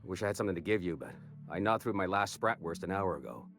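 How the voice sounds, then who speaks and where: An actor playing a wounded, wary smuggler in a video game, with a folksy drawl and short breath. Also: male voice, low voice, animated, fast talker. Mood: weary, helpful. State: winded from a wound. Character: wounded, wary, gritty frontier smuggler man.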